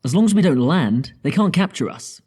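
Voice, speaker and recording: A man playing a casual, doubtful spaceship crew member in a sci-fi audio drama, with a husky, mellow voice. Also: fairly high pitch, lively intonation, a little fast.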